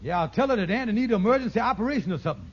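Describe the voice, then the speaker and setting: An actor playing a glib, bumbling schemer in a 1950s radio sitcom, with folksy, stalling, ungrammatical patter. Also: male voice, high-pitched, big pitch swings, rapid-fire. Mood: excited scheming. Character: fast-talking male schemer, glib, wheedling, bumbling con artist. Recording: muffled, noisy vintage radio broadcast.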